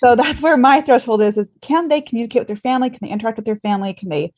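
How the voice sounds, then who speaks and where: A woman's voice: a little high, racing, a little mellow. Earnest woman in podcast conversation. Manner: earnest, emphatic, rhetorical questioning. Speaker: podcast host and former travel nurse. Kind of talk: conversational medical podcast discussion.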